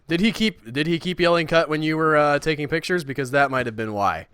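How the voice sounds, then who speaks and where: A man chatting casually while he streams a building game, rambling and self-deprecating, with a high, squeaky voice. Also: a little fast, fairly bright tone, clear, resonant tone, no room sound. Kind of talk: casual livestream chat.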